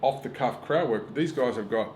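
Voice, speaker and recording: A man's voice: medium pitch, brisk, darkish tone, a little hiss or hum. A middle-aged Australian man, a stand-up comedian, chatting casually and swearing freely as he tells emphatic stories. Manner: profane, emphatic storytelling with acted-out quotes. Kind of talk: casual chat.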